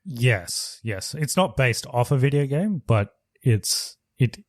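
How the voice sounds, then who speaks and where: A man's voice: medium pitch, expressive, slow pace, dry recording, clean studio sound. A man co-hosting a podcast in casual chat, explaining things step by step with hesitant restarts. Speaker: podcast co-host.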